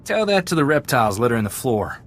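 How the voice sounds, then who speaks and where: A man's voice: medium pitch, sing-song, fast. A man playing a nervous, wisecracking henchman in a video game cutscene. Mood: wary, wry. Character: nervous, wisecracking male henchman warning his captain.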